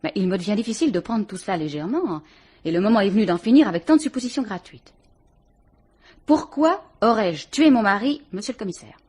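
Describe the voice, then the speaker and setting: An actress playing a guarded widow suspected of murder, answering a police inspector with cool sarcasm in a vintage radio drama. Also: female voice, mid-range voice, lively intonation, fairly quick, darkish tone, breathy edge. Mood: defiant.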